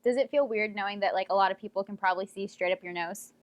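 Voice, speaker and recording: Woman, interviewer and reporter, rapid delivery, mock-investigative style, dry recording. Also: medium pitch, no echo.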